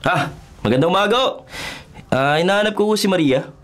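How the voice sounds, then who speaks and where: A man's voice: high-pitched, animated, fairly quick, fairly bright tone, a little hiss or hum. A man acting in a Filipino radio drama as a polite, inquisitive young journalist, courteous and tentative in a conversational way. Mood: polite, curious. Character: polite, inquisitive male journalist visiting a small town.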